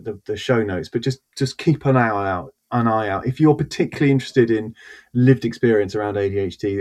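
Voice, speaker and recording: A man's voice: mid-range voice, a little mellow. A man hosting a podcast, joking with his co-hosts and often restarting his sentences. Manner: jokey, hesitant, many restarts. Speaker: podcast host. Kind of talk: casual podcast chat.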